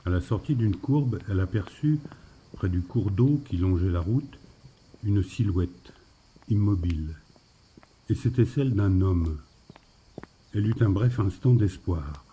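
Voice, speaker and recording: Male narrator for scripted fiction, very mellow and low, slight noise behind. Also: expressive, a little slow, long pauses, rough recording. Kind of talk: scripted literary story narration.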